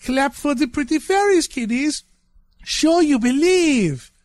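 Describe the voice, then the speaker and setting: A man voicing a bitter, sarcastic, charred ghost ballerina in a horror story, with a shrill, mocking, scolding manner. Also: animated, takes it slowly, a little mellow, dry recording, full character voice.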